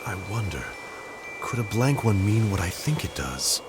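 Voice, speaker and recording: A brooding young composer with a very low, soft voice,, thinking aloud. Clear background noise sits under his words in this game cutscene. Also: very animated, normal speed. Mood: pondering. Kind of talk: video game dub acting.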